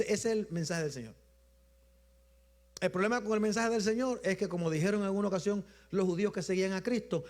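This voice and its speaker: A man with a Puerto Rican accent preaching a Sunday sermon in a rhythmic style, repeating phrases and letting his voice drop low at the end of each point. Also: a little mellow. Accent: Puerto Rican Spanish. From Puerto Rico. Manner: rhythmic repetition, drops low at phrase ends, slows for emphasis. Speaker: bishop preaching.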